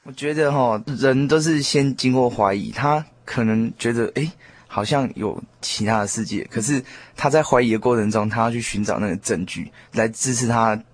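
A man giving his reflections in a casual discussion, deliberate and repeating phrases as he thinks. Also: medium pitch. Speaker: panel discussion participant.

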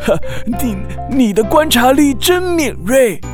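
A kindly sea snail uncle from a children's audio drama. The voice is high and takes its time, stumbling a little while it reassures and praises. Music plays clearly behind it. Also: female voice, big pitch swings, slow pace, exaggerated voice. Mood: admiring, encouraging. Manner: stammering, reassuring, full of praise. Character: kindly encouraging old uncle conch, male sea snail.

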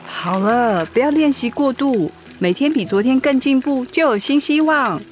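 A caring, higher-voiced wife. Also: female voice, animated, moderate pace, faint music behind. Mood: tender, reassuring. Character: caring wife helping her husband, gentle, encouraging. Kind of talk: Mandarin radio drama acting.

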